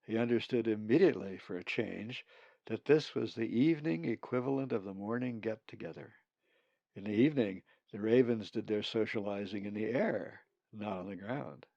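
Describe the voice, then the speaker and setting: A man's voice: lowish voice, normal speed, gravelly, warm tone, no room sound. A man reading his own novel aloud as audiobook narration, on a slightly dull recording.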